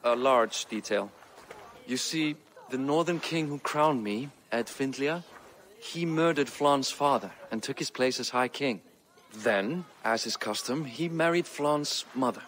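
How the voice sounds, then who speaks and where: An actor playing an earnest, diplomatic middle-aged warrior king with a grave, deliberate delivery in a video game. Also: mid-range voice, fairly bright tone, lightly acted, rough recording. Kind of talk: video game cutscene acting.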